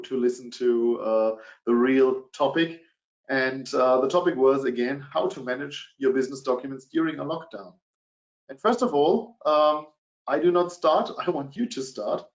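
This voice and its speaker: A middle-aged man speaking English with a German accent presents a business webinar, stopping often on filler sounds. His voice sits at an ordinary pitch. The sound is dull and lo-fi, with a roomy echo around it. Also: moderate pace. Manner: hesitant, many fillers.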